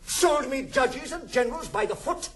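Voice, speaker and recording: An actor playing a blunt, sardonic old uncle in a 1960s recording of a classical stage comedy, with a British accent and declamatory delivery. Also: male voice, very high voice, animated, normal speed. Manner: declamatory, theatrical. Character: blunt wealthy old uncle, male, sardonic yet generous. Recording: 1960s studio record, faint hiss.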